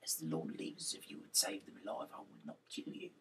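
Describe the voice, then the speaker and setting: Male amateur scripture reader: breathy and whispery with a forceful edge, slightly off-mic, stumbling on names. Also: sits low, brisk, distant mic. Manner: nonstandard grammar, stumbles over names. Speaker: reader of Bible chapters. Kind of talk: amateur reading aloud of scripture.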